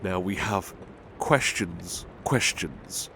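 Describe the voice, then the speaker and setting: A man playing a big-hearted butler, reading poems in a measured, gentle, formal ASMR style. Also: deep voice, gravelly, exaggerated voice. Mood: gentle, courteous. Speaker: ASMR roleplay performer. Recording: faint ambient background noise.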